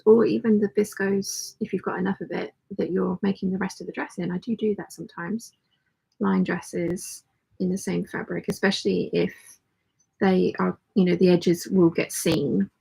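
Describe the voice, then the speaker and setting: Female livestream host, very creaky and husky, croaky delivery, loose chatty rambling style. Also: on the low side, darkish tone, rough recording. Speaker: host of a live sewing hangout. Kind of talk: casual livestream chat, reading out viewer comments.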